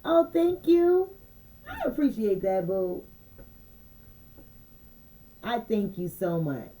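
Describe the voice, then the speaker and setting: A woman with a mellow, relaxed voice talks loosely to her viewers as an intuitive card reader. She repeats phrases and keeps checking that they follow her. Her delivery stays unhurried and conversational. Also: mid-range voice. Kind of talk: casual channeled message livestream.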